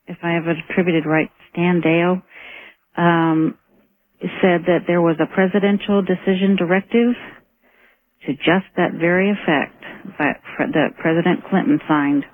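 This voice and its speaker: A woman's voice: fairly low pitch, a little slow, no echo. A woman phoning a radio show, speaking politely and a little hesitantly as she puts questions to the host. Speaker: phone-in caller. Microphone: telephone. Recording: narrow tinny phone line.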